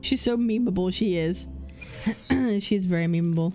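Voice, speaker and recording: A woman's voice: fairly low pitch, moderate pace, mellow, faint music behind. A woman streamer giving casual reaction commentary on a game story.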